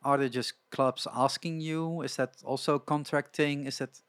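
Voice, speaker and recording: A man's voice: medium pitch, steady tone, normal speed, a bit bright, clear, resonant tone, dry recording. A man interviewing a guest, asking questions hesitantly with repeated words.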